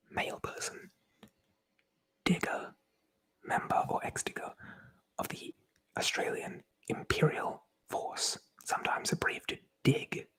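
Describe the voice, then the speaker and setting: Someone whispering in a breathy voice, reading aloud from a book in an ASMR style with chatty, informal commentary. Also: a bit bright, low-quality recording. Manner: chatty, informal, swears casually. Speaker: presenter reading aloud from a tabletop RPG book.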